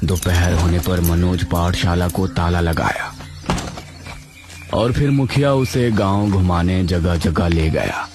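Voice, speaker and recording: Guy narrating a cartoon story, dramatic, takes it slow, clear music underneath. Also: low-pitched.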